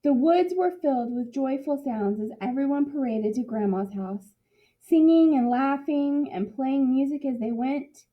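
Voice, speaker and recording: High-voiced woman reading a storybook, muffled sound. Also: unhurried. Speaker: teacher reading a picture book aloud. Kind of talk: expressive storybook narration for children.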